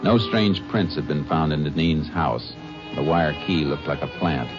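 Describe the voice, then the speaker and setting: An actor playing a determined Texas Ranger who narrates in a terse, clipped first-person style, in a 1950s radio drama. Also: male voice, rumbling low, measured pace. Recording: dull old radio broadcast with music.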